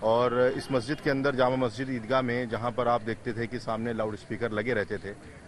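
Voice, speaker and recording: A man's voice: mid-range voice, flat delivery, moderate pace. A man giving a formal, explanatory comment to a news camera. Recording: faint outdoor background noise.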